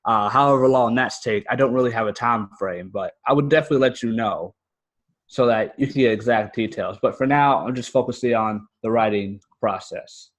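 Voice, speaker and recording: A man's voice: mid-range voice, brisk. An American man speaking casually and earnestly as an interview guest. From United States. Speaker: podcast guest, fiction writer and podcaster.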